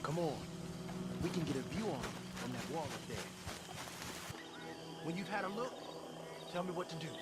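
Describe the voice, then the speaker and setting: Guy doing a young outlaw for a western game, high voice, wry and eager, loud music behind. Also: expressive, normal speed, pauses often, lightly acted. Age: young adult. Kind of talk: naturalistic video game acting.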